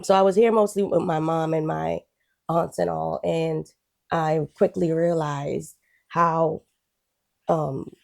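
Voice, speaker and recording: Low, gravelly-voiced woman from Guyana. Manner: relaxed, chatty, with frequent "um" and "you know". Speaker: guest. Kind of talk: casual interview, telling her life story.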